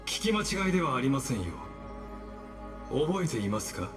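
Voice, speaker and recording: A man playing a suave, knowing schemer in an anime game, speaking with polite, deliberate, theatrical courtesy. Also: medium pitch, sing-song, music in the background, natural voice. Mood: smug, theatrical.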